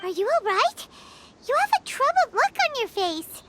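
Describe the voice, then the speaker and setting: Squeaky tiny fairy girl. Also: very animated, moderate pace, heavy background noise. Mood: worried. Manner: squeaky, exclamatory, fretful, speaks of self in third person. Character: tiny childlike female fairy companion, anxious and devoted. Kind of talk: cartoonish video game acting.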